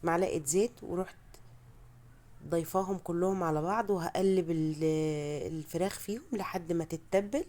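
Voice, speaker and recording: A woman's voice: fairly low pitch, normal speed, darkish tone. A woman with an Egyptian Arabic accent gives a casual, chatty, self-deprecating recipe voice-over as a home cook, her voice altered by a cold. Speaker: home cooking vlogger.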